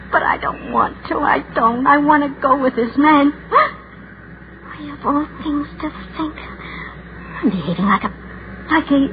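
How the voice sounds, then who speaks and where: Anxious spinster, tinny old radio. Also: female voice, sits high. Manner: fretful, self-arguing, wavering. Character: anxious, lonely spinster's inner voice, torn by guilt. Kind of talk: 1940s radio acting, interior monologue. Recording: noisy, thin 1940s radio recording.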